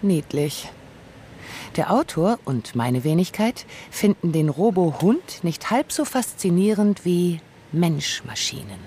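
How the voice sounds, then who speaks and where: A man's voice: high-pitched, very animated, slow, a little mellow, a little hiss or hum. A man narrating a scripted radio documentary in a wry, musing manner.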